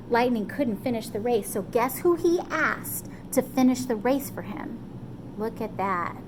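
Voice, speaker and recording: Lady doing storytime for kids, high voice, encouraging, kinda noisy background hiss. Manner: encouraging, checks in with listeners, acts out quotes. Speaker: storyteller reading to children. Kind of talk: animated children's storytime retelling, loosely paraphrased.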